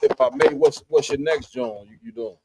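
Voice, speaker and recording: A man hosting a casual podcast, asking questions in halting, restarted phrases. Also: mid-range voice, steady tone. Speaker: podcast host. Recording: noisy, with clicks, off-mic.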